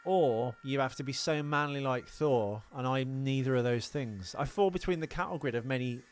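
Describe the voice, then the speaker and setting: A man's voice: medium pitch, normal speed. A man with a mid-range voice chats casually as a podcast co-host. He rambles along and readily puts himself down. Music plays behind his talk. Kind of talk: casual film podcast chat. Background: music playing behind the talk.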